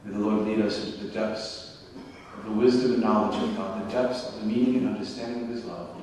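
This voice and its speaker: A man in his thirties preaching at a pulpit, gesturing widely and swinging between high exclamations and quiet asides, with humorous touches. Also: steady tone, a little fast, a little hiss or hum. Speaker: priest and mission preacher. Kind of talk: parish mission announcement sermon. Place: large church sanctuary, at the pulpit. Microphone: small headset mic. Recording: echoey church from far off.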